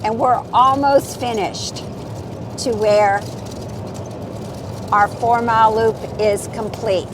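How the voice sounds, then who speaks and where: A woman's voice: fairly high pitch, fairly even intonation, slow, long pauses, noisy background. A woman real estate agent giving a casual, friendly walking tour of a lakeside community outdoors, telling stories as she goes. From California. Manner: friendly, chatty storytelling. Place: outdoors on a lakeside golf course trail.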